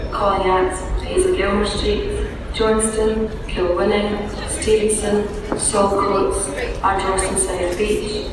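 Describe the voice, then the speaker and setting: A woman making formal, measured, scripted train announcements over a station public-address system, listing each item in turn. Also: medium pitch. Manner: measured, formal, list-like delivery. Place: large echoing railway station. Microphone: distant recording of public-address speakers. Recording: echoing station tannoy from far off, noisy. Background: clear station ambience noise.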